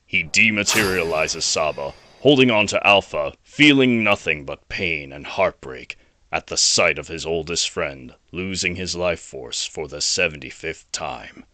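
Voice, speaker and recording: A man's voice: low-pitched, expressive, unhurried, sharp tone. A man narrating a scripted action audio drama as a storyteller. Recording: noisy home recording.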